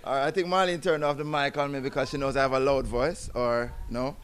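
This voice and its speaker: A man's voice: medium pitch, fairly even intonation, a little fast. A man with a Jamaican accent speaking at a community awards ceremony, chatty and informal, often breaking off to sing bits of old jingles. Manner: chatty, warm, breaks into song, restarts often. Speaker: guest speaker, executive director. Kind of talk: public speech at a ceremony.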